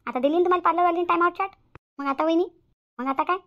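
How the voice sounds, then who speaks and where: Woman acting fast, high-pitched blunt sister-in-law. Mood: fussing. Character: blunt, quarrelsome young sister-in-law at a family engagement. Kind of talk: Marathi family comedy cartoon dialogue. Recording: slightly dull lo-fi audio.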